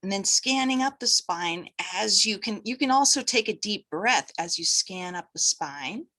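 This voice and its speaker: A woman guiding breathwork and meditation as an instructor, gently giving instructions in short phrases with pauses between them. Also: medium pitch, expressive.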